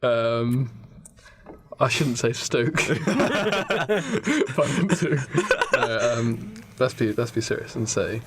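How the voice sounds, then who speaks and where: Hesitant man, mid-pitched voice. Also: expressive, heavy background noise. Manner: hesitant, halting, thinking aloud. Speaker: podcast co-host. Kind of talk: casual improvised comedy chat.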